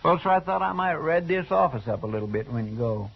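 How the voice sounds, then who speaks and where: An actor playing a folksy, deferential deputy with a rural American accent and a homespun drawl in a 1950s radio western. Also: male voice, on the high side, expressive, fast talker, vocal fry, breathy edge, full character voice. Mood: sheepish, amiable. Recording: muffled old radio recording.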